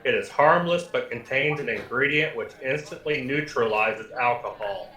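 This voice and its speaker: A man voices an unemotional talking machine in a radio comedy. The bright, mid-pitched voice announces formally and precisely, ringing with echo. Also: normal speed, close to an everyday voice. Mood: impassive.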